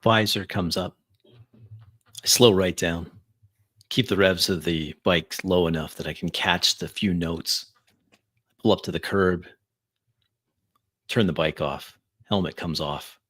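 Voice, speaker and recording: A man playing a tabletop game, narrating his character's actions in deliberate, dramatic short phrases. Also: low-pitched, fairly bright tone. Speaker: player. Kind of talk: first-person roleplay narration.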